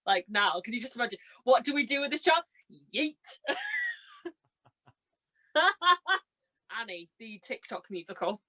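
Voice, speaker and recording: A young woman chatting casually as a podcast guest, telling anecdotes in a gushing, excitable way. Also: fairly high pitch, a little slow, long pauses. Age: young adult. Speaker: podcast guest, amateur actress.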